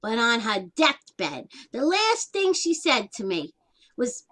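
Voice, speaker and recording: Actress as older single aunt in stage comedy; sharp bright tone, unhurried delivery, blunt and colloquial. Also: female voice, on the high side, sing-song, full character voice. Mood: rueful. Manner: wry, plain-spoken, colloquial nonstandard grammar. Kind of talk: one-act stage comedy acting.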